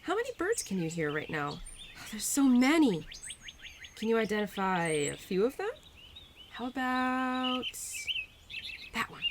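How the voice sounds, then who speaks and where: A woman's voice: fairly high pitch, big pitch swings, unhurried, long pauses, noisy background. A woman playing a bubbly, affectionate young spirit of Spring in a roleplay audio drama, talking to the listener in a gushing, giggly, teasing way. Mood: chatty, curious.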